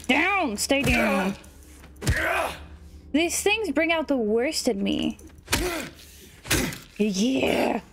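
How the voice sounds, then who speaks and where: A woman commentating a horror game, sniffly from allergies and shrieking excitedly at enemies. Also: high voice, heavy background noise. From South Africa. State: sniffly, stuffy nose from allergies. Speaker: gaming YouTuber. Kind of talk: let's play commentary.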